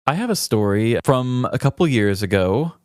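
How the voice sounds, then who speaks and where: A man's voice: medium pitch, sing-song, moderate pace, no echo, studio quality. A man co-hosting a comedy podcast, moving between casual banter and scripted humorous storytelling.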